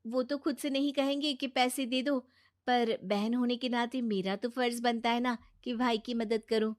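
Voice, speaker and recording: A young daughter-in-law in a cartoon family tale, played by a woman. She is respectful and eager, with a highish voice suited to bedtime stories. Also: fairly even intonation, moderate pace, natural voice. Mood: polite, asking. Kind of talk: animated moral story dialogue.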